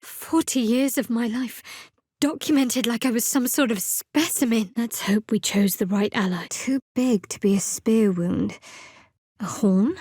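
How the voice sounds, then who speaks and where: A guarded young cleric woman from a fantasy video game, speaks in a fairly high voice. Her delivery is measured and wry, with a devout seriousness beneath the dryness. The recording is close and free of room sound. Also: a little mellow, no room sound.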